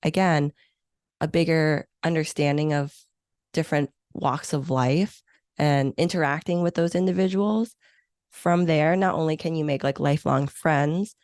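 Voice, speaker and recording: A woman's voice: low voice, measured pace, a little mellow, dry recording, clean studio sound. A woman in her thirties, a Hong Kong–born meeting planner, speaking as a guest in an interview with an enthusiastic, reflective manner. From born in Hong Kong, grew up in the U.S. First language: Chinese or Vietnamese. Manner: enthusiastic, reflective, uses many fillers. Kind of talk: conversational interview.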